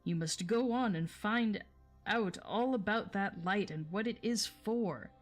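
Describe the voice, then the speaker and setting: A woman voicing a commanding male dwarf leader who gives brisk orders in a storybook reading. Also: medium pitch, soft background music, natural voice.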